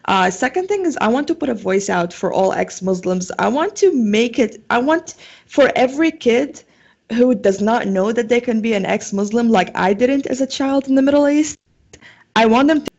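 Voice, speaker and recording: A woman's voice: a little high, lively intonation, normal speed, darkish tone, lo-fi sound. A young woman from Syria with a Syrian Arabic accent, talking casually as an interview guest and often filling with "like" and "um". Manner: chatty, lots of "like" and "um".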